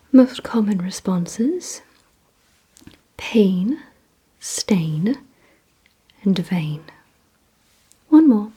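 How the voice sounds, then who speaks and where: A woman whispering an ASMR roleplay as a composed, commanding vampire, giving slow, deliberate, coaxing instructions in a breathy voice. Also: mid-range voice, mellow. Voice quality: breathy, mostly unvoiced whisper. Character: composed, commanding female vampire quizzing her listener. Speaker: ASMR performer.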